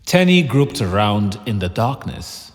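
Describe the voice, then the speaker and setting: Low-voiced male narrator in reverb. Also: big pitch swings, a little slow, noisy background. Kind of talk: scripted story narration. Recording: long hall-like reverb.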